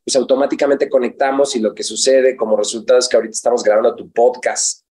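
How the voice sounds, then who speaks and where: A man's voice: medium pitch, little pitch movement, quick pace, a little mellow. Reflective male life coach. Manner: reflective, with frequent fillers and restarts. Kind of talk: conversational interview.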